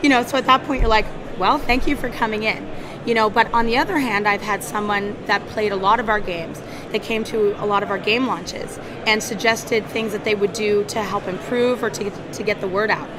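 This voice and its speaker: A woman's voice: mid-range voice, fairly even intonation, a little fast, a crowd in the background. A woman in HR giving an earnest conference talk, with a scratchy voice, often repeating her words. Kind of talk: conference presentation. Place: conference hall.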